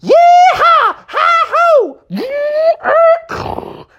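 A storyteller voicing an eager, sleepy young bear cub who talks high, squeaky and shouty in a made-up story. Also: wildly swooping pitch, slow pace, dull audio, heavily acted. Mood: whooping.

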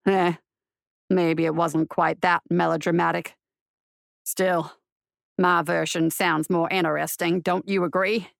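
A woman's voice: fairly low pitch, little pitch movement, unhurried, gravelly, long pauses, dry recording, studio quality, pitched below the performer's own voice. A woman voicing a wry, bored frontier marshal doing penance, with a folksy, rueful way of telling his story in an audiobook. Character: male wandering lawman marshal, wry, bored, penitent.

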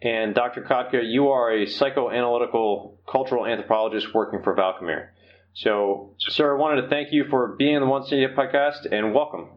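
A man's voice: medium pitch, brisk. Orderly American male podcast host, dull audio. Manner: polite, orderly, explanatory. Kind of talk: interview hosting, prepared introduction.

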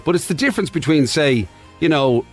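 A man's voice: fairly high pitch, lively intonation, fairly quick, soft background music. An Irish man co-hosting a radio show, teasing and wry in casual chat. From Ireland. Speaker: radio host.

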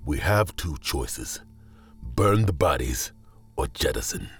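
Deep, husky, grim ship officer. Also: male voice, lively intonation, exaggerated voice. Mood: coldly pragmatic. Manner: measured, matter-of-fact. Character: grim, pragmatic male ship officer weighing losses. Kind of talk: audio drama acting. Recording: faint music behind.

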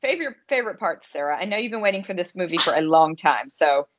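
A woman's voice: lowish voice, animated, fast, lo-fi sound, background noise. A woman co-hosting a casual podcast about films, explaining her opinions in an articulate, conversational way. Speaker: podcast co-host and film critic. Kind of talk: casual podcast film discussion.

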